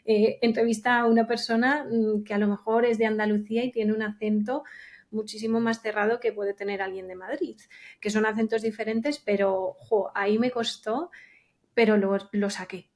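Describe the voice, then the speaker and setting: A woman talks in a medium-pitched voice. She is a recruiter appearing as a podcast guest, telling anecdotes in a casual, conversational way. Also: steady tone, moderate pace, darkish tone.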